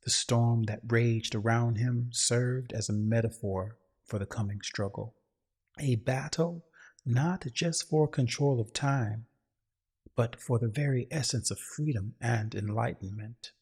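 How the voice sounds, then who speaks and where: A husky-voiced man reading a dramatic scripted story in a solemn, grandiose way. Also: medium pitch, a little slow, a little mellow. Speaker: narrator.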